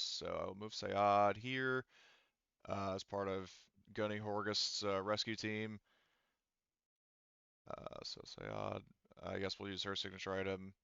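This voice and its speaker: A man with a low, clean voice narrates a tabletop roleplaying session. His delivery is casual and halting, with pauses as he works things out. The sound is close and dry but slightly dull, like online voice chat. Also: vocal fry, sharp tone, clean tone, dry recording. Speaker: game master. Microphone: online voice chat microphone.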